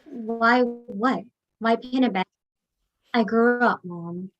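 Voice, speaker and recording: An actress playing a young college-bound daughter, wounded but resolute, in a staged play reading. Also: female voice, on the high side, slow pace, lo-fi sound. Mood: defensive.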